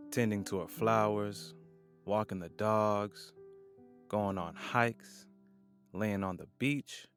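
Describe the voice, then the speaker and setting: A man narrating an audio drama in a measured, solemn way. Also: on the low side, a bit bright.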